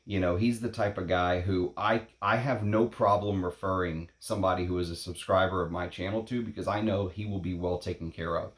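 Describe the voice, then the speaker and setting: A man in his late thirties hosting a perfume vlog, talking casually in a rambling, opinionated way, blunt and quick to swear. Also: low voice, steady tone. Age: 30s. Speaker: perfume channel host. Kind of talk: casual unscripted vlog talk. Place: at home in Texas.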